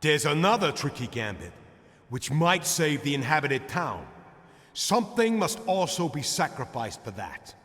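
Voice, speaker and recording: Sharp, bright-voiced earnest challenger. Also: male voice, fairly high pitch, very animated, measured pace. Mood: earnest, challenging. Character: earnest, probing male schemer challenging the law. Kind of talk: theatrical scripted game dialogue. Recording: long reverberant hall.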